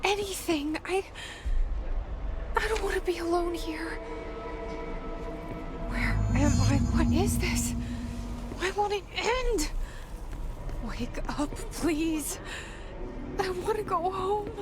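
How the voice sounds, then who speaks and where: Scared lost lady in a game, really high breathy voice, slow, loud music behind. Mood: desperate, pleading. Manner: breathy, fragile, often whispering. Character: lost, frightened, confused woman pleading alone. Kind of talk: dramatic video game acting.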